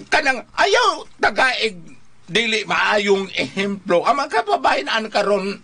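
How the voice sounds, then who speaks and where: A male co-host with a Visayan Filipino accent gives casual advice. His voice sits high and bright, with a lively, wide-swinging melody. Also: takes it slowly, creaky. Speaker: talk show co-host.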